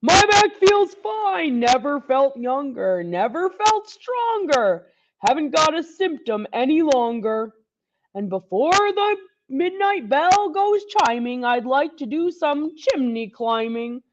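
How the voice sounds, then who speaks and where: A woman voicing a jolly old Santa Claus, booming and hearty, with loud excited exclamations. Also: slow, background noise, exaggerated voice. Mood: jovial. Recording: dull lo-fi recording.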